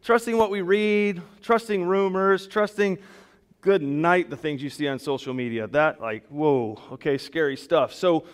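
Loud-voiced male pastor in a reverberant church. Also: fairly high pitch, a little slow, clear, resonant tone. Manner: loud, conversational, self-deprecating asides. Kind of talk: sermon. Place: church sanctuary. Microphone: PA microphone. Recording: long hall reverb in a church.

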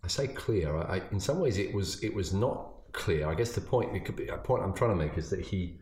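Deep-voiced pastor, halting and roomy. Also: male voice, animated, darkish tone. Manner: halting, repeats words while thinking. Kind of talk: casual chat, summarizing a sermon. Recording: roomy echo.

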